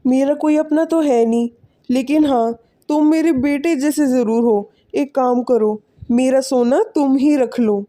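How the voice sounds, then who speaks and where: A woman voicing a kindly, lonely older village man in an animated moral story, earnest and fatherly. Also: sits high, unhurried. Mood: fatherly, grateful.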